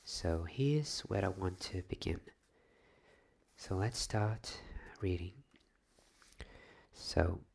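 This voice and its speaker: Male ASMR storyteller, hushed delivery, husky and fry-laden, very slow reading. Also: sits low. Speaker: host reading a bedtime story.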